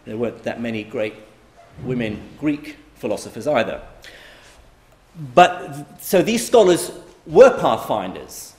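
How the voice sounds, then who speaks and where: A man's voice: medium pitch, big pitch swings, unhurried. A middle-aged man from Iraq giving a conversational public lecture, with frequent fillers and restarts. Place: lecture hall. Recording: echoey lecture hall.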